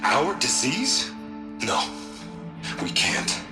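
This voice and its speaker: An actor playing a deluded, remorseless tycoon in a video game, solemn and self-righteous, with halting delivery. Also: male voice, mid-range voice, wildly swooping pitch, heavily acted. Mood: feverish, resolute. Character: deluded, remorseless male tycoon justifying mass destruction. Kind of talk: cinematic video game acting. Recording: loud music over the voice.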